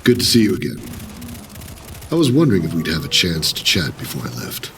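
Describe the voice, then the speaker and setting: A man playing a solemn veteran commander turned spiritual leader in an audio drama, speaking in a measured, formal, earnest way. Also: deep voice, huge pitch swings, a bit bright, far from the mic, a little hiss or hum, heavily acted. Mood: calm, welcoming.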